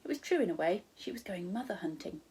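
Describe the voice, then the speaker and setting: British woman reading a children's story, slightly husky. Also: on the low side, very animated, quick pace, darkish tone. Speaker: primary school teacher. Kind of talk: expressive storybook narration for children.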